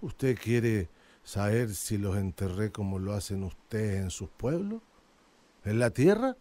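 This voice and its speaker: Mellow, grieving old man. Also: lowish voice, lively intonation, takes it slowly. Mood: wounded, bitter. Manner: laconic, almost absent telling. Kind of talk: radio drama acting.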